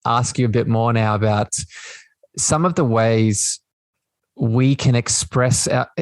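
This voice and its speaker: A man's voice: medium pitch, slow pace, dry recording. A man hosting a spiritual podcast, talking in a reflective way and often checking in with "right?". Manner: reflective, tags thoughts with "right?". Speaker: podcast host. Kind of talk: spiritual conversation.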